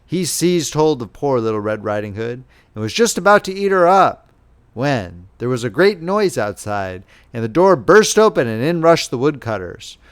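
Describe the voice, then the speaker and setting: A man narrating a children's fairy tale as a scripted, expressive audiobook reading. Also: medium pitch, smooth.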